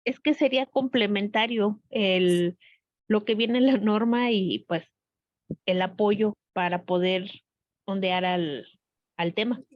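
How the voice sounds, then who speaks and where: A woman's voice: mid-range voice, slow, dry recording. Woman participant on a dull call line. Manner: hesitant, trailing phrasing. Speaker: workshop participant. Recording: dull, dry video call audio.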